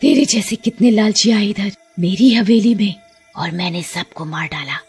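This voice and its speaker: A woman's voice: mid-range voice, moderate pace, a little mellow, slightly rough, soft background music. Woman acting a taunting, menacing ghostly she-serpent. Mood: scornful, menacing. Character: vengeful, gloating female ghost serpent in a haunted mansion. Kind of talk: Hindi cartoon horror dialogue.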